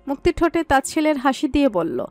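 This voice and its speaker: A woman's voice: sits high, big pitch swings, rapid-fire. A woman narrating a romantic audio story from a script. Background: background music.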